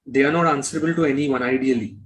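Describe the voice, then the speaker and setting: A man's voice: mid-range voice, fairly even intonation, fast talker, fairly bright tone. A man speaking as an interview guest, blunt and opinionated, often repeating himself. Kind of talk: conversational interview.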